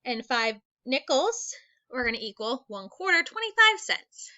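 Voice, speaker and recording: A woman teacher with a fairly high, very animated voice reads aloud for young children. She is instructional and takes things step by step. The recording is a slightly dull screencast voice-over. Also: measured pace, clear, resonant tone. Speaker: elementary school teacher.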